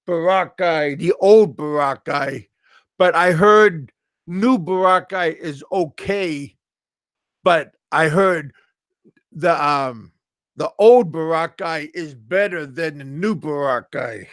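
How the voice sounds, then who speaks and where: A middle-aged American man chatting casually on a live stream, halting and repeating his words. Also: fairly high pitch, a bit bright, no room sound, studio quality. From USA. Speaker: livestream host.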